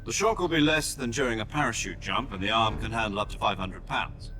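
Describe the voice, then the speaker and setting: A man playing a composed middle-aged army major in a video game, briefing his agent in an authoritative, precise, measured way. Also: fairly low pitch, pushed and bright, faint music behind. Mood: calm, assured. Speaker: commanding officer, a major. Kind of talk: military mission briefing, video game voice acting.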